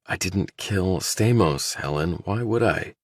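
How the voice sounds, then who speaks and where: A man's voice: low voice, lively intonation, takes it slowly, no echo, clean studio sound, voice pushed up, full character voice. A man voicing a guarded, probing ex-soldier turned investigator, terse and questioning, in an audiobook. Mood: defensive. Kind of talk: audiobook character dialogue.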